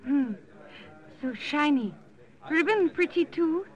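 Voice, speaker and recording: A woman in a 1940s radio drama playing a simple, childlike native girl who speaks halting broken English. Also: high-pitched, animated. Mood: naive wonder. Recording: old-time radio recording.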